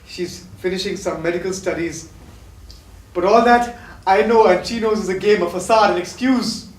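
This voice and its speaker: A man's voice: high voice, normal speed, lightly acted. A man acting a sick, tormented addict confessing lost love in an impassioned, poetic stage-play style. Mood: fervent longing. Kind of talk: theatrical stage play dialogue. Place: theatre stage, recorded from afar. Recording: distant, echoey, noisy stage recording.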